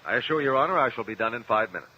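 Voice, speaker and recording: An actor playing a sharp, persistent defense lawyer in a vintage American radio drama, speaking formally and articulately. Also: male voice, mid-range voice, expressive, rapid-fire, natural voice. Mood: arguing. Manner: articulate, pressing, courtroom formality. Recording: dull vintage radio broadcast.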